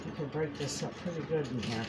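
A middle-aged woman chatting casually to camera in her home kitchen as she cooks, homey and quick to laugh. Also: sits low. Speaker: homestead cooking vlogger. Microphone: camera across the kitchen. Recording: noisy home kitchen audio.

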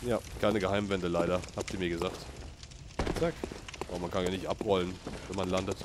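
A man's voice: low voice, steady tone, a little fast, heavy background noise. A man with a slight cold and a sniffle, chatting casually to viewers while he plays and reacts aloud to the game. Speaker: let's play gamer. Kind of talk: casual gameplay commentary.

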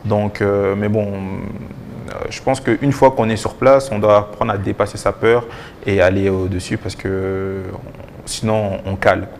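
A young man giving halting answers with repeated words as a guest in a TV interview. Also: fairly low pitch, steady tone, a little mellow, a little hiss or hum. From DR Congo and Belgium. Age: young adult. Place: TV studio. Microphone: lapel mic.

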